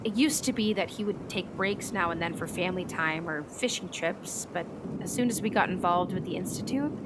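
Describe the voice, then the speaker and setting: An actress playing an earnest, troubled meteorologist in a naturalistic radio play, speaking in a hesitant, reflective way. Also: female voice, on the low side, a little fast, heavy background noise, natural voice. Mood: wistful, reflective. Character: earnest, troubled woman meteorologist and storm chaser.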